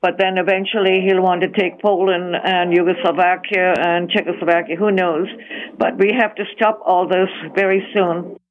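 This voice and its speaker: Woman caller, flat sing-song-less voice, tinny phone line. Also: lowish voice, normal speed. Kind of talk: radio phone-in call.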